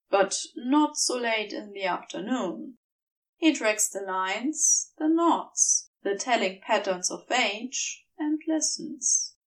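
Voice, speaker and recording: Slow, high-voiced woman reading a story. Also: recorded from a distance, low-quality recording. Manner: measured, expressive storytelling. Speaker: fan fiction audiobook reader. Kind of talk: scripted story narration.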